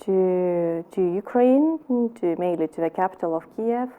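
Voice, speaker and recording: Lithuanian-accented woman, soft and mellow. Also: lowish voice, clean tone. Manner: halting, with many restarts and fillers. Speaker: interview guest. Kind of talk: personal testimony in an interview.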